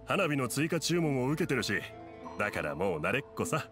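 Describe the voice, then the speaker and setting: An actor playing a kindly, jovial middle-aged merchant in an anime game. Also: male voice, mid-range voice, big pitch swings, a little fast, a bit bright. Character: genial middle-aged former merchant, a father. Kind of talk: anime video game voice acting. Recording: music behind the voice.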